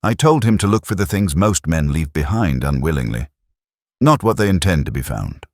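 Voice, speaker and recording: A man with an extremely low voice narrates a detective story in the first person. His delivery is measured and solemn, though the pitch rises and falls in a lively way. The recording is dry and close, with a literary, scripted feel. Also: dry recording. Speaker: narrator in the part of a police inspector.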